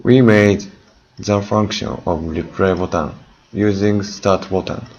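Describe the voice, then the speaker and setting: A man's voice: low-pitched, steady tone, slow pace, vocal fry, darkish tone. A man giving a prepared student presentation in careful, broken-up non-native English, on a dull lo-fi recording. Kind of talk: prepared presentation of a software project. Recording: dull, lo-fi recording with faint noise.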